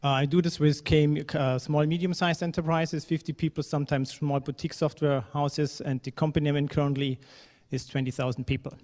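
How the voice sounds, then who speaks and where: A man's voice: on the high side, little pitch movement, brisk, a little mellow. A man from Germany giving a conference talk in a hall, speaking conversationally with fillers and now and then a phrase that sounds non-native. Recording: reverberant hall.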